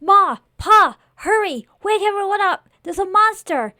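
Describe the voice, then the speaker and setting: A woman playing a terrified, grieving farm boy who shouts in panic and hostility in a horror story. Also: very high-pitched, lively intonation, a little slow, dry recording, clean studio sound, voice pushed up, full character voice. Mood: panicked.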